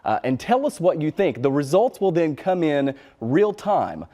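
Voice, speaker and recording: A man's voice: on the high side, big pitch swings, unhurried, mellow. An American man presenting live as a TV news reporter, explaining things in an informal, conversational way.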